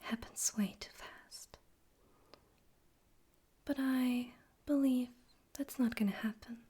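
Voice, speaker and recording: A woman voicing a smug, theatrical male demon overlord in an ASMR roleplay, in a soft breathy whisper with a deliberate, teasing, condescending manner. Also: fairly high pitch, steady tone. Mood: smug, fond.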